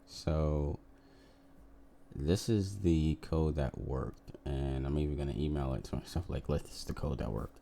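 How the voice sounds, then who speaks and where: A man who works as a software developer, talking casually to viewers as he gives a coding demo. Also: extremely low pitch, unhurried, creaky, a little hiss or hum. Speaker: software developer making a video.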